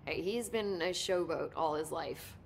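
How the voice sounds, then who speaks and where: Nerdy female scientist, game character, rambling, pedantic, lowish voice, faint rumbling noise. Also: measured pace. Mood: chatty. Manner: chatty, pedantic, rambling about details. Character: eager, nerdy female research scientist, easily sidetracked. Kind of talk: video game dialogue acting.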